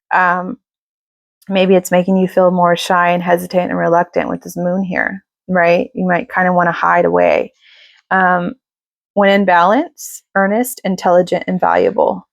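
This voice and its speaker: Casual woman tarot reader, slightly raspy and gravelly, chatty with lots of fillers, dry close recording. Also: lowish voice, no room sound. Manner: chatty, filler-heavy, reassuring. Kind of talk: casual tarot reading to camera.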